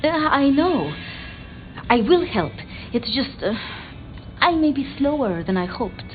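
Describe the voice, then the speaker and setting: A woman voicing a timid, bookish, deferential vampire scholar in video game dialogue, speaking haltingly and apologetically. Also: sits high, sing-song, phone-quality sound, a little hiss or hum. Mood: halting grief. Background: background music.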